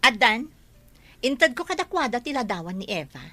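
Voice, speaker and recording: A woman playing an imperious, impatient rich villainess, haughty and sharp-tongued, in an Ilocano audio drama. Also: fairly high pitch, big pitch swings, fairly quick, full character voice. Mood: scheming, disdainful.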